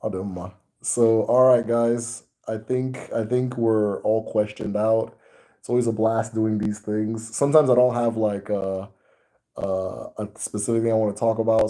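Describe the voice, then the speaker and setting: A man with an American accent, hosting a casual talk to his viewers in a relaxed, rambling way with many fillers. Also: on the low side. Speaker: host. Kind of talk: casual video chat to viewers.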